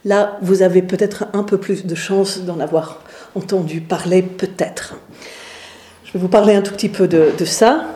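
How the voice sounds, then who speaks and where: A woman's voice: lowish voice, steady tone, moderate pace, dark tone, reverberant. A middle-aged woman pastor from England with an English accent, giving an informal talk with many hesitations and fillers. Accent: English, with some Swiss. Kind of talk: informal lecture to a group.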